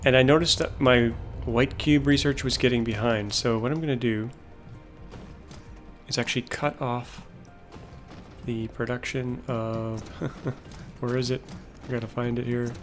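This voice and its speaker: A man's voice: mid-range voice, fairly even intonation, measured pace, soft background music. A man thinking aloud as he plays a factory-building game, casually musing over his production lines, says he is losing his voice. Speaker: gameplay video host. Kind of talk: casual let's-play commentary.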